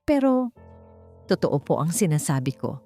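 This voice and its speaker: A woman's voice: low voice, very animated, moderate pace, warm tone, faint music behind, exaggerated voice. A woman with a Filipino accent voicing an earnest, humble young working woman in an audiobook, pleading and polite.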